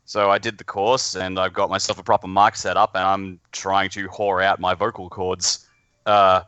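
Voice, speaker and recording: A man with an Australian accent and a lowish, clean, resonant voice, recorded dry. He chats informally as a podcast guest, blunt and sweary, often poking fun at himself. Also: level tone, moderate pace, pushed and bright, clean tone, no echo, lo-fi sound. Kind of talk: casual chat.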